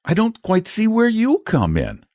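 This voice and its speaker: A man's voice: sits high, wildly swooping pitch, measured pace, a little mellow, no room sound, clean studio sound, voice pushed up, exaggerated voice. A man voicing an eager young boy who speaks bluntly.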